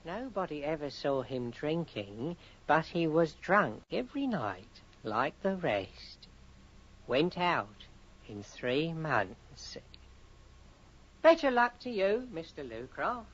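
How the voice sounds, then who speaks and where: An actor playing a chatty, officious solicitor's clerk in a radio horror drama, blunt but pitying. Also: male voice, on the high side, big pitch swings, slow, a bit bright, slightly rough, heavily acted. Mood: gossipy, pitying. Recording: dull, lo-fi vintage radio recording.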